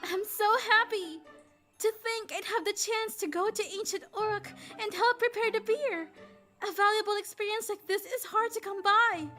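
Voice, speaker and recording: Woman playing a very high-pitched, earnest young maiden. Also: moderate pace, faint music behind, natural voice. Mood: delighted. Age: young adult. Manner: polite, earnest. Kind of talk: comic dub voice acting.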